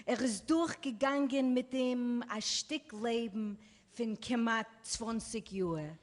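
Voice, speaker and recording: A woman with a fairly high voice performs a literary reading very slowly and dramatically. Her voice rings through a long, reverberant hall from the stage. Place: live event on stage.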